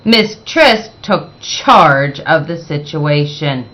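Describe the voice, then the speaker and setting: A woman reading a children's picture book aloud as an expressive storyteller, with deliberate, dramatic emphasis. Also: sits low.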